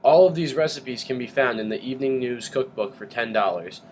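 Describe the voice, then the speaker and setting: A man's voice: medium pitch, a little fast, a little mellow, a little hiss or hum, lightly acted, low-quality recording. Man playing a 1920s radio chef. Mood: cheerful. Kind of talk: scripted 1920s radio cooking segment.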